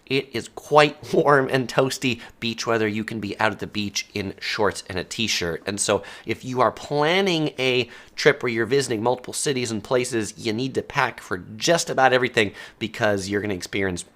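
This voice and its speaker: A man's voice: medium pitch, normal speed, few pauses, a bit bright. A man from the United States, hosting a casual live-stream talk about travel myths, chatty and full of ums and uhs. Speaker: travel video host. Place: Southern California.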